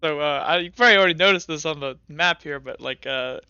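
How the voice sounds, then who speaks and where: Bright, rambling tabletop game master. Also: medium pitch, fast talker, clear, resonant tone, dry recording, highs cut off. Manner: rambling, many fillers and hedges.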